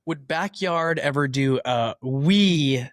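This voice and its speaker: A podcast host asking quick, quiz-style questions and reacting briefly to the answers. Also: medium pitch, dry recording, studio quality. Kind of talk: rapid-fire interview questions.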